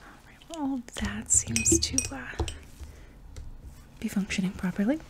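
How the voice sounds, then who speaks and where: A young adult woman in a whispered ASMR medical roleplay, breathy and soft, giving reassuring step-by-step instructions up close. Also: on the high side, expressive, measured pace, heavy background noise. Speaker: ASMR roleplayer playing an anesthesiologist.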